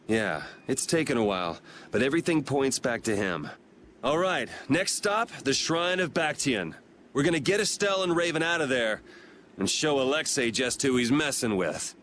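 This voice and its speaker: A man's voice: mid-range voice, expressive, moderate pace, noisy background, close to an everyday voice. An actor playing a blunt, cool-headed and defiant young swordsman in a fantasy video game. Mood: relaxed. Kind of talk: English game dub acting.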